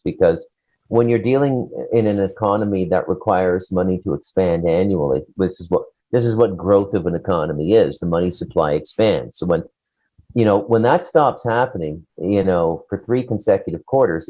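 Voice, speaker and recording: A man's voice: low-pitched, mellow, low-quality recording. A man co-hosting a casual talk show, giving opinions in a rambling, blunt, profane way. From Canada.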